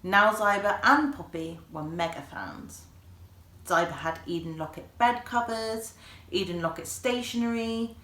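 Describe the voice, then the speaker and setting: A woman reading a children's story aloud in an animated way, like an author presenting the book. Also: medium pitch, unhurried. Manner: animated, acts out dialogue. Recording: echoey room.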